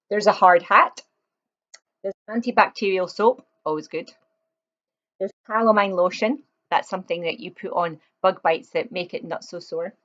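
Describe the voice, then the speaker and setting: A woman's voice: medium pitch, muffled recording. A woman reading a children's picture book aloud, expressively, with chatty explanatory asides to young listeners.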